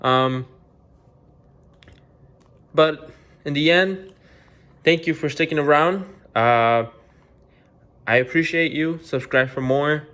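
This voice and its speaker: A man's voice: a little high, takes it slowly, long pauses, fairly bright tone. A man hosting a children's read-aloud, narrating a picture book and chatting informally to viewers.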